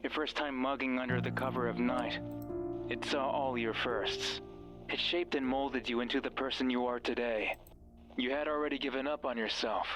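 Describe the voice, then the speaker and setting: An actor playing a sinister, manipulative mysterious voice on a radio, bitter and commanding, narrating a horror game in the second person. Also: male voice, medium pitch, fairly even intonation, moderate pace, over music, lightly acted, low-quality recording. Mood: scornful, brooding.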